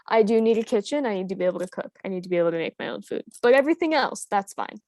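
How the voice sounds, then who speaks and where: A woman co-hosting a livestream in casual chat, upbeat and quick to agree, talking over a video call. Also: mid-range voice, lively intonation, a little mellow, no room sound. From United States. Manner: upbeat, quick agreeing interjections, frequent laughs. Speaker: co-host of a translation livestream.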